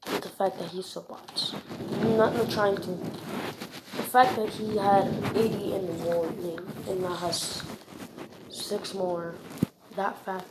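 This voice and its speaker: A woman mumbles through casual reaction commentary with an offhand, unscripted feel. Her pitch sits in the middle for a woman. The screen-recorded audio is clearly noisy, with small clicks. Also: level tone, slow pace. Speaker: video creator reacting to videos. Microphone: phone or device mic during screen recording.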